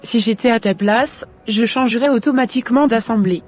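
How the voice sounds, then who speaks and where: A woman's voice: on the high side, moderate pace, soft background music, close to an everyday voice. A woman playing firm advising friend Hermine. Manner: firm, caring advice.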